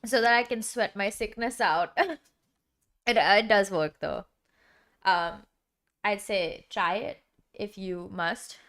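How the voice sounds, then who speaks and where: A woman's voice: medium pitch, takes it slowly, long pauses, a bit bright. A young woman streamer with a dry, sick-sounding throat, chatting casually and rambling with lots of filler words. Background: background music.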